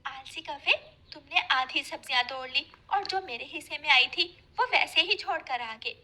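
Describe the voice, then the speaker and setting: An upright female farm bird acted out by a woman in a cartoon, high and very bright in tone. She speaks directly, like one used to telling others off. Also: animated, normal speed, exaggerated voice. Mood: scolding. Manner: scolding, direct. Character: hardworking female farm sparrow, scolding and upright. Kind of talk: cartoon story voice acting.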